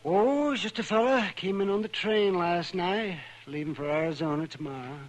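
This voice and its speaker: An actor playing a grumbling, melancholy American frontier doctor in a 1950s radio western, muttering and repeating himself. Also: male voice, high-pitched, moderate pace, natural voice. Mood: somber. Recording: faintly noisy vintage radio recording.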